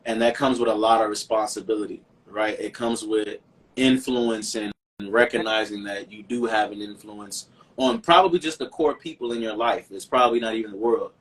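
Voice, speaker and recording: Chicago guy, singer, low voice, kinda far from the mic, earnest and thoughtful. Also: normal speed. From Chicago, USA. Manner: reflective, earnest, repeats words while thinking. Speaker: singer and songwriter guest. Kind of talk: casual interview conversation.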